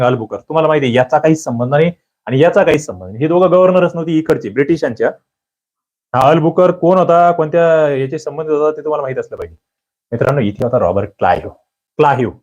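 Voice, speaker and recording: Lively man quizzing students. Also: a little high, dull audio. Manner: lively questioning, quizzes the listeners and answers himself; frequent throat clearing. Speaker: exam coaching teacher. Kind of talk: quiz-style exam prep lecture.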